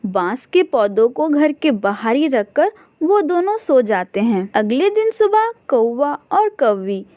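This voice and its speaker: A woman's voice: high-pitched, a little mellow, no echo. A woman narrator reading a scripted children's cartoon story.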